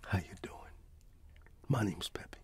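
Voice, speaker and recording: A middle-aged man playing a friendly, wistful candy shop owner in a gentle, hesitant ASMR roleplay whisper. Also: a little slow, natural voice.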